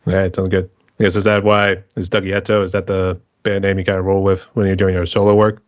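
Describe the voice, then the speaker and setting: A man's voice: low voice, level tone, quick pace, no room sound, lo-fi sound. An American man hosting a podcast, asking loose, rambling questions in a casual chat. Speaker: podcast host.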